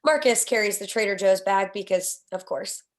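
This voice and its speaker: A woman's voice: mid-range voice, normal speed. A woman reading out scene headings and action lines as scripted narration for a screenplay table read. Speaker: stage direction reader.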